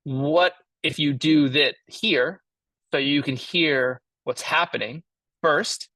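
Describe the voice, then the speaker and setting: A man giving an informal lesson as an audio engineer, explaining his tools in a halting way as he thinks aloud. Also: on the high side, pushed and bright, dry recording, rough recording. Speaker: mixing engineer teaching a class.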